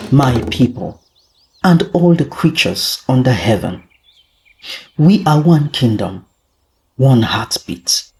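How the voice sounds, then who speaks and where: A storyteller voicing a wise, solemn king who addresses his people in a stately, measured way in a folktale. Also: mid-range voice, very animated, a little mellow.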